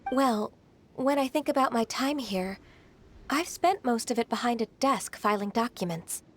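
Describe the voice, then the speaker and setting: A woman playing a soft-spoken, polite young adeptus in a game, speaking earnestly. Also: fairly high pitch, animated, normal speed. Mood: wistful.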